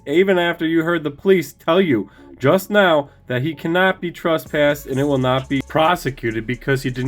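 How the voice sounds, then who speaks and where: An American man hosting a police-auditing channel, giving emphatic voice-over commentary and addressing his viewers familiarly. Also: on the high side. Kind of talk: scripted-sounding voice-over commentary to subscribers. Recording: faint music under the voice. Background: music.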